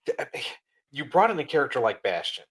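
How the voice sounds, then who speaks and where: A man co-hosting a podcast with a very animated voice that swings up and down. He talks eagerly, stopping and restarting his sentences. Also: mid-range voice, husky. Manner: enthusiastic, halting, with restarts. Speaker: podcast co-host. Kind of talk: casual podcast chat.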